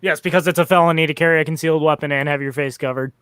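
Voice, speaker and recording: A man's voice: a little high, steady tone, very fast, a bit bright, clear, resonant tone, no room sound. A man in a casual political podcast chat who stammers through restarts and talks wryly.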